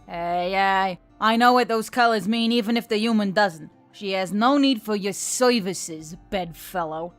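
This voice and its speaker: A woman's voice: medium pitch, a little slow, hardly any pauses, soft background music, close to an everyday voice. A woman voicing a brash, protective female centaur with a blunt, wry and casual way of talking in a fantasy story. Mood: stern, protective.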